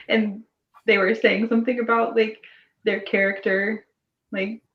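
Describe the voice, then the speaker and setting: A woman's voice: mid-range voice, flat delivery, unhurried. A woman chatting casually as a guest in a book discussion, recorded with roomy, dull call audio.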